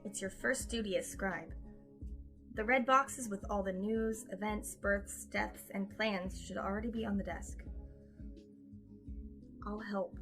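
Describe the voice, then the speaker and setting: A woman's voice: mid-range voice, steady tone, unhurried, pauses often, a bit bright, faint music behind. A woman playing a practical, composed mother in an audio drama, with a matter-of-fact manner. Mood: composed, instructive.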